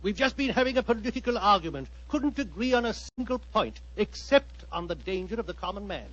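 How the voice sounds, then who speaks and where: An actor playing an urbane, callous upper-class gentleman with a British accent and a blustery, chatty manner, in 1940s radio style. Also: high voice, expressive, brisk. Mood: blithe. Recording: dull 1940s radio recording.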